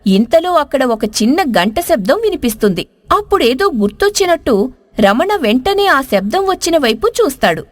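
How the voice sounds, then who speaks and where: A woman narrating a scripted moral story with expressive storyteller delivery. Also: high-pitched, moderate pace. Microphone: close studio voice-over.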